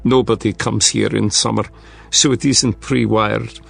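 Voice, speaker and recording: A man's voice: fairly low pitch, level tone, a little slow, creaky, breathy edge, soft background music, exaggerated voice. A man voicing a sly, blunt Russian strategist with a thick Russian accent and clipped, article-dropping speech. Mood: matter-of-fact. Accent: thick Russian accent, put on.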